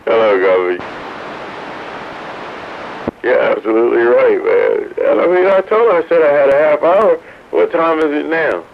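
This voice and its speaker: A man's voice: on the high side, expressive, moderate pace, gravelly, long pauses. A man chatting casually as an operator on CB radio. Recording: noisy, muffled CB radio transmission.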